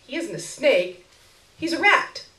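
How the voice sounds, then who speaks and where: A woman's voice: high voice, sing-song, a little slow, sharp tone, voice pushed up, exaggerated voice. A woman reading a story aloud, voicing an anxious, needy woman teacher with a strained, quavering voice and plaintive prattle. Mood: tearful, shrill. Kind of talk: live short-story reading. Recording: echoey room, miked from a distance.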